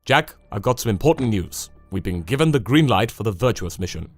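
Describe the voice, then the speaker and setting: A man's voice: lowish voice, animated, fairly quick, soft background music. A man playing a dry, officious commander giving comic mission briefings in a wry, condescending way. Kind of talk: comedic mission briefing in a parody dub.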